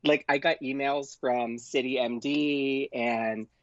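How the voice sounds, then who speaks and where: A man's voice: medium pitch, fairly even intonation, slow, no room sound. A young man speaks as a guest calling in to a podcast. He is effusive and chatty, leaning on "like" as he goes. The sound is dry and slightly muffled, like a lo-fi remote call. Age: young adult. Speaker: podcast guest, actor and comedian. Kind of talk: casual chat.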